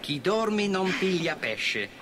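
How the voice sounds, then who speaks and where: A man's voice: sits high, big pitch swings, unhurried. A man with a British accent playing a wry young husband in a conversational testimonial. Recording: clear urban ambient noise.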